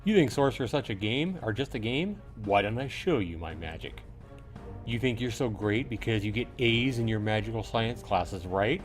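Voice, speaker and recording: A man plays an arrogant young nobleman from a visual novel. He taunts and sneers in a loud, low-pitched voice, goading a rival to fight. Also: animated, normal speed, soft background music, natural voice. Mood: sneering, challenging. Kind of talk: visual novel character read aloud.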